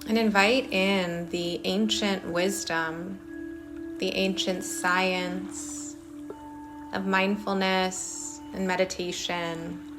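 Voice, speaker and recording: Very slow-spoken woman guiding meditation. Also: mid-range voice, level tone, music in the background. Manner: soothing, short phrases with long pauses. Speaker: meditation guide. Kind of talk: guided meditation, body scan. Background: singing bowls.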